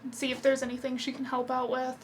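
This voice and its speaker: A woman in her twenties playing a tabletop game, easygoing and thinking out loud. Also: high voice, completely flat delivery, fast, a little hiss or hum. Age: 20s. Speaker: player. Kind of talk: casual chat at a tabletop game.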